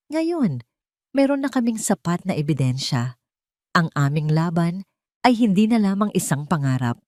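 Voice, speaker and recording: A woman's voice: lowish voice, dry recording, studio quality. A woman with a Filipino accent reading a scripted first-person dramatic story as its narrator.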